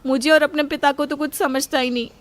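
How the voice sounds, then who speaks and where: A woman's voice: high voice, steady tone, brisk, pitched above the performer's own voice, natural voice. Woman acting sugary, plaintive daughter-in-law. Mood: falsely apologetic. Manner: ingratiating, plaintive. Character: two-faced daughter-in-law, sweet-talking her mother-in-law. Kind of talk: dramatised moral storytelling.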